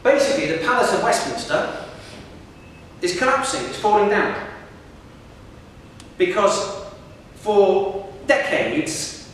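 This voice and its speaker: A middle-aged male professor giving a lively lecture to students, asking them questions as he goes. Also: sits high, slow, pauses often, slight background noise. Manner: lively, engages the audience with questions. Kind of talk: public lecture. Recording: echoey hall recorded from far off.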